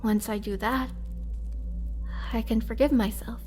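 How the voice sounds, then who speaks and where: Woman acting a soft, slow-spoken gentle vampire girlfriend. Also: a little high, fairly even intonation, faint music behind, lightly acted. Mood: humbled, remorseful. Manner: soft, hesitant, caring delivery. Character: gentle, remorseful young female vampire, tender and shy. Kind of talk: intimate girlfriend roleplay audio drama.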